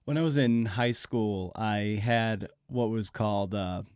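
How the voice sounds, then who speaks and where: Guy hosting a podcast, lowish voice, casual and a bit roundabout, really dry sound. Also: measured pace, smooth, no room sound. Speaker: podcast host. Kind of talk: conversational interviewing with personal anecdotes.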